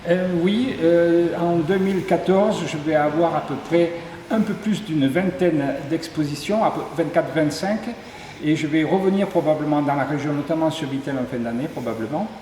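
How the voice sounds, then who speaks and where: Sculptor from southern France, somewhat high voice, walking through his exhibit; echoey hall, faint background noise. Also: normal speed, mellow. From southern Ardèche, France. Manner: descriptive, lists details with hesitations. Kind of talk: interview, explaining his artworks. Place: tourist office exhibition hall.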